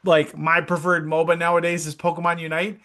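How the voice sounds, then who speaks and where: A man in his thirties with an Upper Midwest accent, chatting casually as an interview guest, relaxed and friendly with plenty of ums. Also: on the high side, a little fast. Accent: Upper Midwest American. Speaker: guest, esports director.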